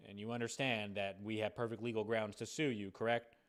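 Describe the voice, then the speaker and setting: A man's voice: on the low side, little pitch movement, a little fast, clean tone, close to an everyday voice. An American man playing a stern, bullying adult who officiously threatens a kid with a lawsuit in a prank call. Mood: officious, pressing. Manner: officious, pressing, repetitive.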